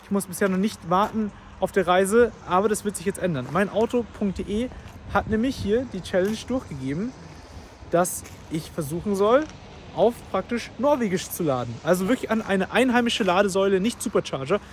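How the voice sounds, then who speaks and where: A man's voice: sits high, lively intonation, moderate pace, a little hiss or hum. A man vlogging casually on a road trip, chatty and enthusiastic, with plenty of fillers, talking from his car and at charging stations.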